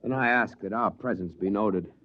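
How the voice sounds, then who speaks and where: A man's voice: lowish voice, normal speed, natural voice. An actor playing a devout, steadfast man of faith in a 1950s radio drama, speaking earnestly and formally. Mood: prayerful. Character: devout, steadfast male believer aboard a tiny ship. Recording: muffled, noisy old radio recording.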